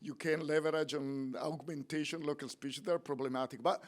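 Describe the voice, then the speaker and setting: Slightly high-voiced man making a suggestion. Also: fairly even intonation, brisk. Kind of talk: conference discussion.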